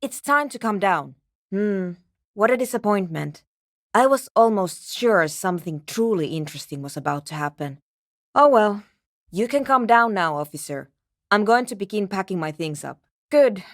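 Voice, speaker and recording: Actress as a precise, expressive young programmer woman. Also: mid-range voice, no room sound, studio quality, lightly acted. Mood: mildly disappointed, brisk. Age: young adult. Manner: measured, technical, dryly formal. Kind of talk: video game character voice acting.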